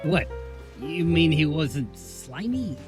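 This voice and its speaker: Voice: medium pitch, lively intonation, slow pace. Swooping, world-weary noir bartender. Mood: curious, teasing. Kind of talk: noir audio drama acting. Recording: music bed under the voice. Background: music.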